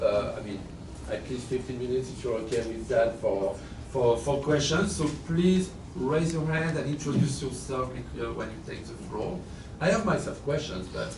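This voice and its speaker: Man moderating a Q&A, echoey and distant. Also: mid-range voice. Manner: rambling, repeats himself. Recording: echoey room from far off, noisy.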